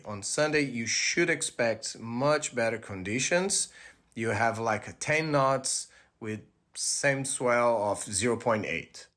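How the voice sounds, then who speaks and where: A young Brazilian man with a clear Portuguese accent talks through a coastal weather outlook, keen and a little loose with his English grammar. His pitch sits in an ordinary middle range for a man. The sound is close and dry, with no room echo behind it. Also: fairly bright tone. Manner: enthusiastic, slightly broken English. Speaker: weather presenter. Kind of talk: casual presenting.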